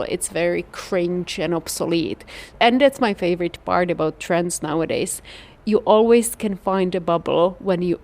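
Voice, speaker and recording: A woman's voice: fairly low pitch, a little mellow. A woman with a Czech accent explains things in a casual, chatty interview style, peppering her talk with "like" and "you know". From Czech Republic. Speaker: interview guest, trend forecaster.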